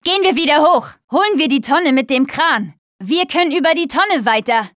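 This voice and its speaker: A young woman survivor in a zombie apocalypse game, tough and wry. Her voice is high and recorded very dry. She delivers short callouts to her team. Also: expressive, normal speed, a bit bright, dry recording. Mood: determined, wry.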